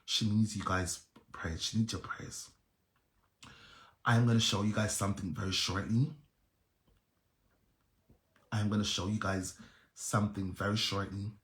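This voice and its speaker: A man's voice: fairly low pitch, fairly even intonation, unhurried, pauses often, fairly bright tone, roomy sound. A man hosting a live stream, pleading urgently and repeating himself.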